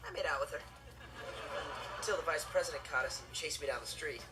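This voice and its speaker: A man's voice: a little high, quick pace, natural voice. A young male actor playing a laid-back, cocky, girl-crazy teenage boy in a TV comedy, with a casual, offhand delivery over music. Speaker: sitcom character. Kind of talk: scripted comedic monologue to audience.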